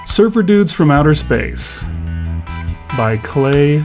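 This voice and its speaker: A man's voice: on the high side, sing-song, slow pace. Male audio fiction narrator over faint music. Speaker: story narrator.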